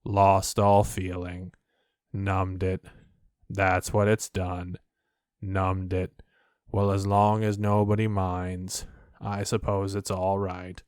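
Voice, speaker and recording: A man voicing a gloomy, self-pitying old donkey who grumbles slowly and low. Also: level tone, darkish tone, clear, resonant tone, no room sound, studio quality.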